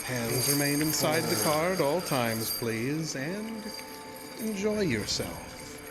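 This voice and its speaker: An actor playing a suave, sinister carnival ride builder in a horror audio drama, speaking formally and with smug, unhurried politeness. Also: male voice, on the high side, lively intonation, loud music behind the voice. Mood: coaxing, eerie. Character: suave, sinister male magician's mechanic and carnival ride artist.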